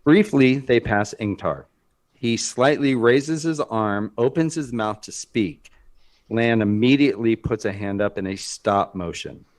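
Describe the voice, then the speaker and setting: A man reading screenplay stage directions as narrator, in a measured, deliberate manner. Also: medium pitch. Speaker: narrator of a script read.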